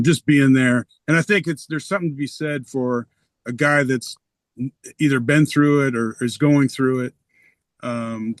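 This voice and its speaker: A middle-aged man, a retired umpire and pastor, talking softly and earnestly in a reflective conversation with many pauses and ums. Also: medium pitch, no room sound. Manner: soft-spoken and earnest, with frequent ums.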